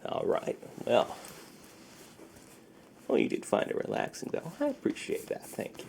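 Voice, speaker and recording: A man playing a friendly, secretive underground ASMR dealer in a soft, breathy, half-whispered roleplay voice. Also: a little hiss or hum, natural voice. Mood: hushed, reassuring. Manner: slow, hushed, conversational.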